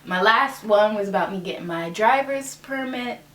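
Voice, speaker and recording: A woman's voice: medium pitch, expressive, moderate pace, a bit bright. Twenty-something girl rambling upbeat about her stuff, bit stuffed up, echoey bedroom. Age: 20s. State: stuffed-up nose. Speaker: vlogger. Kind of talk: casual show-and-tell chat.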